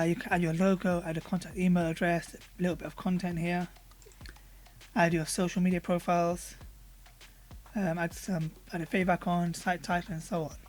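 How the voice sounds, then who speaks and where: A hoarse man reviewing a software tool, casually talking through a screen recording with frequent fillers. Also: high-pitched. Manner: relaxed, conversational, with frequent fillers. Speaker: product reviewer.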